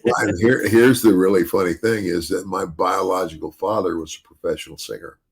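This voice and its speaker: An older man with a deep bass voice, chatting casually as a podcast host, rambling with many restarts and "you know". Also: steady tone, brisk. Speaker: podcast host, retired wrestler.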